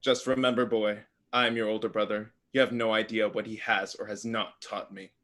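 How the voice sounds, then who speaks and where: A man's voice: on the low side, little pitch movement, moderate pace, a bit bright, lightly acted. A man playing a domineering eldest-brother god of the underworld, condescending and threatening, in a staged play reading.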